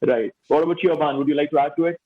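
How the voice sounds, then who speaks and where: A man hosting a panel discussion, upbeat, prompting each guest with quick praise. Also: a little high, fairly even intonation. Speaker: host. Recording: dull lo-fi audio.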